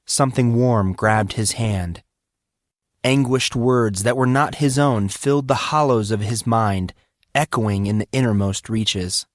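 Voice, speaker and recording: Slow, deliberate male audiobook narrator. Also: on the low side, dry recording, studio quality. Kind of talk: dramatic scripted narration.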